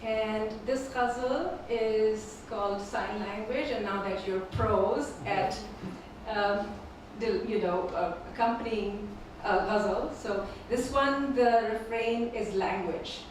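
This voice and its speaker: A woman whose first language is Urdu, reading her poems slowly and repeating each first line at a live poetry event. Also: mid-range voice, slight background noise. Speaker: featured poet. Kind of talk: poetry reading with spoken introductions. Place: performing arts venue. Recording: echoey hall from far off.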